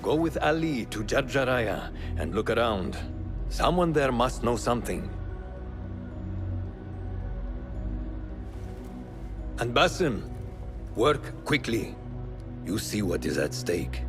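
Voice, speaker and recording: Game casting: a cautious, authoritative male mentor of assassins, middle pitch with animated inflection, grave in temper. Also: a little slow, over music. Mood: cautioning. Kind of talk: dramatic video game acting.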